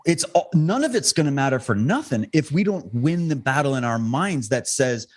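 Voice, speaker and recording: A man's voice: sing-song, moderate pace, a little mellow. A man from Alberta, talking as a guest on a podcast interview in a fervent, impassioned way. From Canada (Alberta). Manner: fervent, impassioned, rising in pitch when stirred.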